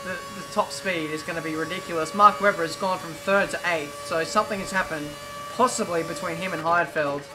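A man with a British accent talking over his racing game in a chatty, excitable play-by-play, his voice a little croaky. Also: fairly high pitch, fairly quick, low-quality recording. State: slightly croaky. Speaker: gaming YouTuber.

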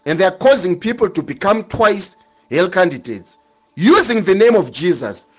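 A man's voice: high-pitched, very animated, normal speed. A man preaching a sermon, emphatic and repetitive, with dramatic swings in delivery.

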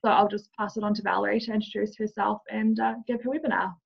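A woman's voice: mid-range voice, steady tone, quick pace, creaky, breathy edge. A woman hosting a webinar over a video call and briefly welcoming the guest. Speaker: webinar host. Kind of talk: introducing a guest. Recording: dull lo-fi web call audio.

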